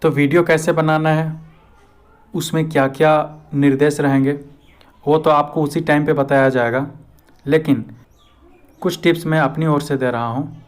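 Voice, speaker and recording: A man's voice: fairly high pitch, steady tone, normal speed, pauses often. A man with an Indian accent giving informal, step-by-step instructions as a video host.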